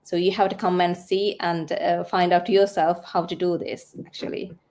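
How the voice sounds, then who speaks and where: A woman's voice: fairly low pitch, little pitch movement, moderate pace, a little mellow. A woman in her thirties with a Sri Lankan accent, giving a casual testimonial as a guest on an online call. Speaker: guest, nurse in online business. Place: live online video call.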